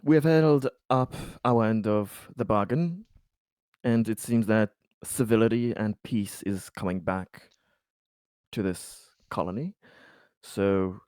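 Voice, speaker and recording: Guy doing a polite scheming space colony negotiator, low and deliberate, dry sound. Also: a little mellow, dry recording. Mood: diplomatic, firm. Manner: measured, deliberate, formal phrasing. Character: formal, courteous, calculating male negotiator in a space colony.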